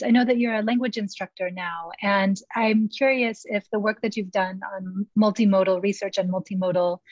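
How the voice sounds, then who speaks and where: A woman's voice: medium pitch, darkish tone, dry recording. A woman interviewing a guest over a video call, affirming answers and politely asking follow-up questions. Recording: dry, muffled video-call audio.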